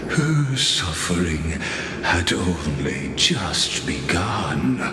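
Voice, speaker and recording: An ancient, world-weary warlord from a fantasy game, speaks with extremely low pitch and a crawling, ominous pace. His grave words echo heavily over background music. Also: sing-song, drawn-out words, heavily acted. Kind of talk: dramatic video game voice acting. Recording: echoey cavernous reverb under music.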